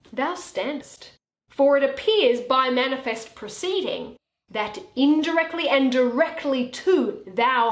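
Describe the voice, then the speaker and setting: A woman playing a stern, commanding young lawyer, speaking formal Shakespearean verse with deliberate emphasis. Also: on the high side. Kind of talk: Shakespearean stage verse reading. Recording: roomy, echoing room sound.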